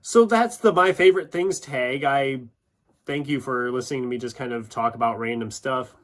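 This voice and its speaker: A man's voice: mid-range voice, moderate pace. A man from Iowa, chatting informally as a vlog host with self-deprecating asides. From United States, Iowa. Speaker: YouTube book channel host. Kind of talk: casual chatty vlog, answering questions.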